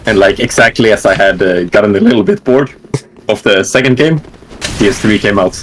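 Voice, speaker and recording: A man being interviewed about the games he plays. He talks in a relaxed, rambling way, with lots of fillers. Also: lowish voice, brisk, a little hiss or hum. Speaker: interview guest, gamer. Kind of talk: casual online interview.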